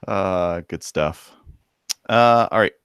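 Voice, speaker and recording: A man's voice: low voice, takes it slowly, fairly bright tone, clean tone, no echo. A man hosting a podcast in a casual, offhand way with dry joking. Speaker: podcast host. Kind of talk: casual banter and read-out segments.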